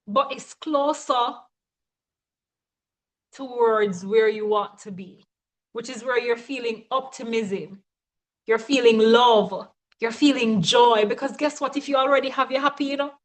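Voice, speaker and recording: A woman's voice: a little high, unhurried. A woman coach giving a motivational teaching talk, speaking emphatically and often checking in with her listeners.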